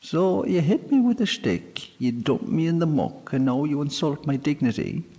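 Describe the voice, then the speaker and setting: A man voicing a tiny, bearded, easily offended male fairy, huffy and sharp-tongued. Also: on the high side, very animated, normal speed, husky, pitched above the performer's own voice. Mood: aggrieved. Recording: long hall reverb.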